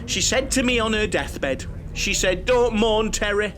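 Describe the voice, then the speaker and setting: Fussy, high-pitched chatty Englishman. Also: lively intonation, a little slow, background noise. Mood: chatty, earnest. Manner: theatrical, self-important, over-familiar. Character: chatty, camp, fussy male coach-trip enthusiast. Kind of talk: comic audio drama dialogue.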